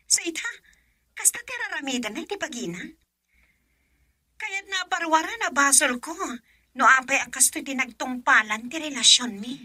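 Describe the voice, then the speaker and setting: A woman plays a heartsick young daughter in an Ilocano radio drama, her voice high and very bright. She talks emotionally, slipping from soft sighs to loud pleading. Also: heavily acted. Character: young woman torn over love, daughter.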